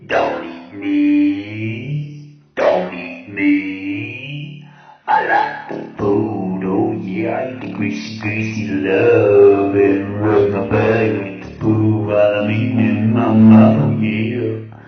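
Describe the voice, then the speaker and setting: A man's voice: fairly low pitch, fairly even intonation, very slow. A man voicing a greedy glutton with an absurd drawling manner in a comic radio drama. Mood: gluttonous. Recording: echoey, dull, under very loud music.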